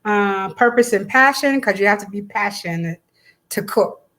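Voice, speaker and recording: A woman's voice: mid-range voice, normal speed, a bit bright. A woman co-hosting a casual chat about an event, talking in a chatty, encouraging way. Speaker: co-host.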